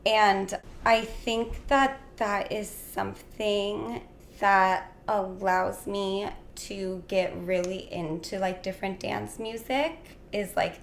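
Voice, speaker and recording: A young woman in casual interview conversation, dreamy and full of "like" fillers, slightly hoarse. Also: mid-range voice, fairly even intonation, takes it slowly, hardly any pauses. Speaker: guest. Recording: echoey room with faint noise.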